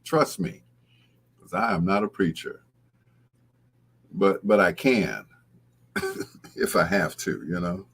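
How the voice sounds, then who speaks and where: A man hosting a late-night music radio show, chatting casually and informally to his live listeners in a husky voice. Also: sits low, very animated, slow, long pauses, a bit bright. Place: broadcasting on radio and live video stream.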